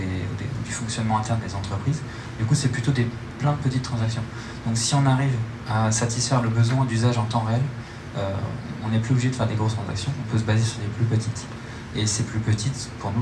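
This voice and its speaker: A young man giving informal, halting answers as a startup presenter on stage at a meetup, speaking into a handheld microphone. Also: on the low side. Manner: hesitant, halting phrasing. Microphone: handheld microphone, recorded by camera in room. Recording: echoey hall, loud room noise.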